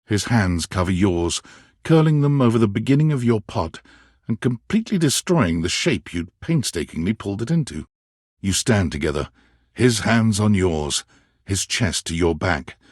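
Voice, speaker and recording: A man playing a wry, dramatic storyteller who narrates a romance video game in measured second person. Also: deep voice, darkish tone, breathy edge, no room sound, clean studio sound, heavily acted.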